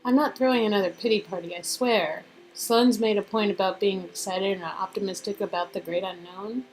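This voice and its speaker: A woman playing an affectionate, teasing young female lover in an amateur romance game reading, with a breathy, playful delivery. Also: mid-range voice, steady tone, a little fast, darkish tone, a little hiss or hum, natural voice, rough recording. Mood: reflective.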